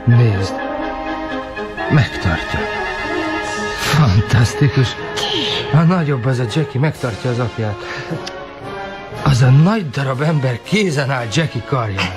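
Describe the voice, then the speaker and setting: A man's voice: mid-range voice, expressive, pauses often, lightly acted. Man playing a boisterous teenage boy over music. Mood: amazed. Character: excitable slangy teenage boy, cheeky and boisterous. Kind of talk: radio drama acting. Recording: music under the voice.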